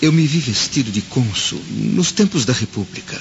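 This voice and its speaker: A grave, troubled Roman nobleman with a Brazilian accent speaks at a middling pitch. His delivery is theatrical and brooding, that of a proud, anguished father in a radio play. Clear ambient noise sits behind him. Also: expressive, moderate pace. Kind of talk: Portuguese-language radio drama acting.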